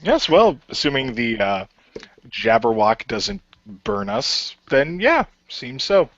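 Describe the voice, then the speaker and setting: A man playing a skeptical new recruit to a hero team, talking in a casual, halting way. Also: mid-range voice, big pitch swings, fairly bright tone, dry recording, pitched above the performer's own voice, full character voice. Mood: wry, willing. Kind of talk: amateur radio play acting. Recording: dry, slightly muffled home recording.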